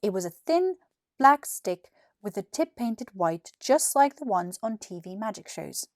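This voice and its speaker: A British woman in her twenties reading a children's story in an expressive, clearly articulated narration. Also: medium pitch, a little slow, no echo, clean studio sound. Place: small furnished room indoors. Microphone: lapel mic.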